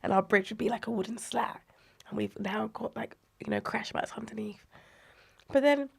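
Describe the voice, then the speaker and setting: A woman, unwell and croaky, animatedly recalling her childhood as a podcast guest, often dropping to a breathy near-whisper. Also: on the high side, normal speed. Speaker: guest, inclusive play advocate. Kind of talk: conversational podcast interview.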